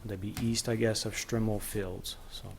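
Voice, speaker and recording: A man's voice: fairly low pitch, flat delivery, normal speed, creaky, darkish tone. A man making an informal, hesitant public announcement at a council meeting. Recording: meeting room with faint noise.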